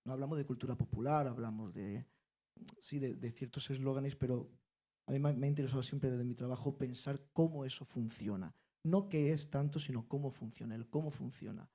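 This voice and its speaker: A man's voice: medium pitch, a little fast, dark tone. A man from the flamenco world giving a lecture-style panel talk, intellectual and emphatic, repeating key phrases. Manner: intellectual, emphatic repetitions, builds arguments. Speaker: panel speaker, flamenco researcher.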